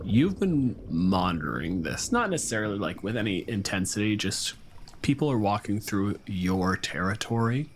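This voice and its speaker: A man's voice: fairly low pitch, big pitch swings, moderate pace. A man improvising narration as game master of a tabletop game, casually explaining as he goes. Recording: clear background noise.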